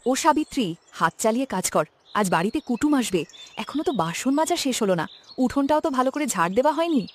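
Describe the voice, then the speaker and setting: A fast-talking, fairly high-voiced rich village mistress, acted by a woman in a cartoon. She is bossy and scolding but kindly underneath. There is faint noise behind her. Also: expressive. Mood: scolding, concerned. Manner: brisk, scolding. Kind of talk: Bengali cartoon moral-story voice acting.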